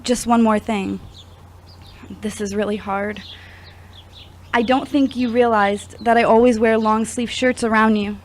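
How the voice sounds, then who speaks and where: Woman acting as a wounded, higher-voiced young woman confessing. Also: steady tone, unhurried, long pauses, slight background noise, close to an everyday voice. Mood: vulnerable, pleading. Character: wounded, confessional twenty-four-year-old woman, recovering addict. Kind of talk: scripted audio drama acting.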